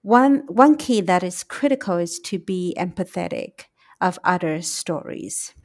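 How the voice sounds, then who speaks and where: Middle-aged taiwanese lady doing a solo podcast, really slow pace, kinda low voice, careful wording. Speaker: podcast host, English teacher. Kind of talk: solo reflective podcast monologue.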